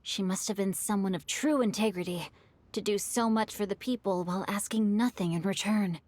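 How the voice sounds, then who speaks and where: A woman's voice: mid-range voice, a little mellow, no room sound, natural voice. A woman playing a polite, bookish youth in a video game, speaking in an articulate, thoughtful and courteous way. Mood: calm, earnest. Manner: articulate, measured, courteous storyteller. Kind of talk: video game character acting. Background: game music.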